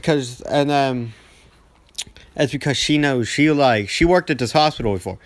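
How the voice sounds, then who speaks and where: A man's voice: mid-range voice, normal speed, dry recording. Young man rambling in heavy slang. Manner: rambling, heavy slang, animated retelling with mimicked dialogue. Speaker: gaming video storyteller. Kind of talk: casual storytelling over gameplay, slangy.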